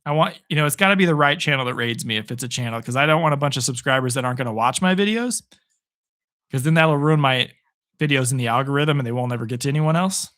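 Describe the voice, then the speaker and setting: A man hoarse from hours of talking, giving live play-by-play and chatting upbeat with viewers on his stream. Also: mid-range voice, quick pace, a bit bright. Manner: chatty, upbeat, reads viewer comments aloud. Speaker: sports streamer. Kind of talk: live play-by-play and chat reactions.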